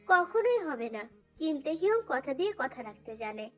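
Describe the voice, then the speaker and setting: A woman's voice: high-pitched, very animated, normal speed, a bit bright. A woman voicing a pleading, defensive, mischievous young male college student in a dramatised fan fiction. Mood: indignant. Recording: faint music under voice. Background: faint background music.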